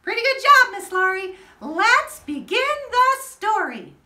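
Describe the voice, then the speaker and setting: A woman voicing an excitable, cheerful little girl puppet in a children's story time, exclaiming in an exaggerated sing-song way. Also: very high voice, unhurried, voice pushed up, heavily acted. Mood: excited. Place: indoors, living room. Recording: echoey living room.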